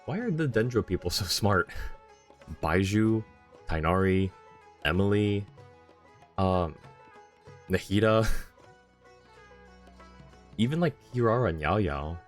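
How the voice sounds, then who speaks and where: Male streamer, deep pitch, casual live game commentary, thinking aloud, rambling, light music behind. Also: animated, slow, pauses often.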